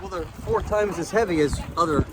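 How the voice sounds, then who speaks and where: A man's voice: high voice, brisk. A man in casual banter with a friend while shopping outdoors at a flea market. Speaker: vlogger's shopping companion. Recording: noisy outdoor location sound.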